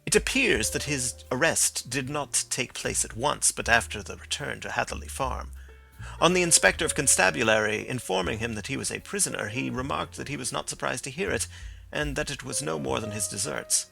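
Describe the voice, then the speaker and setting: A man reading aloud as a sharp, confident middle-aged detective who lectures with precise, assured reasoning. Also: medium pitch, a little fast, vocal fry, faint music behind.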